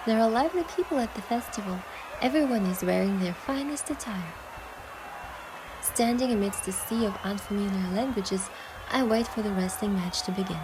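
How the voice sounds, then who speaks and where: A woman voicing a playful, tender young heroine who narrates her own romance in a game with soft, lyrical storytelling. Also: medium pitch, normal speed, noisy background, natural voice. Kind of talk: scripted first-person narration and dialogue in romance game. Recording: music behind the voice.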